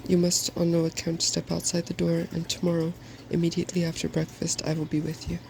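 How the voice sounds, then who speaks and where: A man voicing an eager, bossy, scholarly young woman in a soft-spoken ASMR storybook reading, with precise, instructive phrasing. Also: a little high, completely flat delivery, a little fast, creaky, slight background noise.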